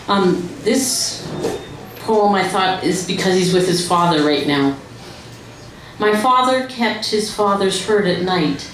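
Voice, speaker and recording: A woman speaking at a live poetry reading, with measured, deliberate phrasing. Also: lowish voice. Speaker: poetry reader. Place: indoor room at a live event. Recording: very echoey room, faint noise.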